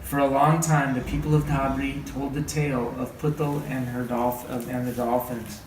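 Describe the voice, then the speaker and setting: A man reading a story aloud as a teacher, with dramatic, deliberate phrasing and questioning asides. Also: medium pitch, little pitch movement. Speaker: read-aloud teacher and trainer. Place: indoor room, training session. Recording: echoey room, distant mic, background noise.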